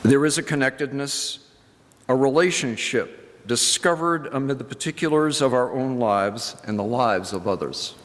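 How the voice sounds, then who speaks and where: An older man speaking as a minister in a reflective church address, with deliberate, halting phrasing and pauses for emphasis. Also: mid-range voice, fairly bright tone. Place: large indoor church sanctuary. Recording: reverberant church hall with background noise.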